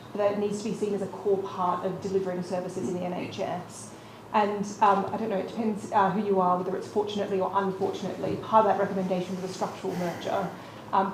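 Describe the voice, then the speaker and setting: A woman on a panel, answering a question at a brisk, articulate pace. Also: mid-range voice. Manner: brisk, articulate, policy-focused. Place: conference room with audience. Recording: echoey room with background noise.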